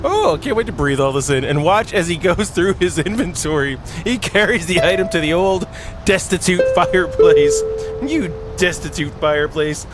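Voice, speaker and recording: High, animated man doing a playful let's play. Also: moderate pace. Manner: goofy, giggly, exclaiming, drifts between reading and quips. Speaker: gaming video host. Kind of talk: playful let's play, reading story aloud with joking asides. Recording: music behind the voice.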